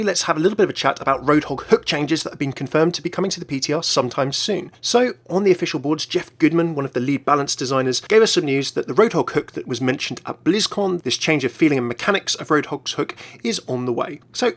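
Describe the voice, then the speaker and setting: A man's voice: fairly high pitch, a bit bright. A man hosting a gaming channel, delivering scripted news commentary. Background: music.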